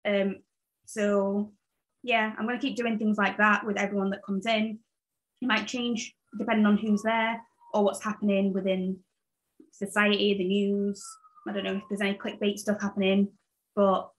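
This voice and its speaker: A woman's voice: mid-range voice, poor audio. A woman in her late twenties, born in Zambia and raised in England, hosting her own podcast in a rambling, casual way with plenty of fillers. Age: 20s. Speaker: podcast host. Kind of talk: casual solo podcast chat.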